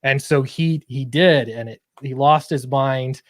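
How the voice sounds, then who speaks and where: A man's voice: mid-range voice, unhurried. A man chatting casually as an interview guest, explaining his creative work in an earnest way. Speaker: guest comic book creator. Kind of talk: casual interview chat about own project.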